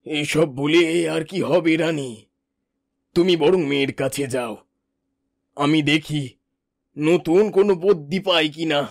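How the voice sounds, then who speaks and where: A man acting a sorrowful, high-pitched cartoon king. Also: unhurried, long pauses. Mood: resigned. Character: sorrowful, helpless fairy-tale king, father of a daughter. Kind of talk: melodramatic cartoon dialogue. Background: background music.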